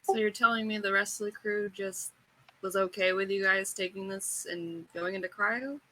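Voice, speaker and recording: A woman with a bright, clear-cut tone and a medium pitch takes part in a tabletop roleplaying game. She talks casually, as a player does when describing and speaking for a character. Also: fairly even intonation, normal speed, poor audio.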